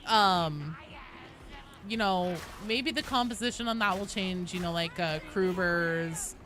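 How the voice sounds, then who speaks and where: A woman's voice: on the low side, slow pace. A woman livestreaming a game, chatting casually and discursively about it, with frequent swearing. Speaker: game streamer. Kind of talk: casual livestream gaming chat.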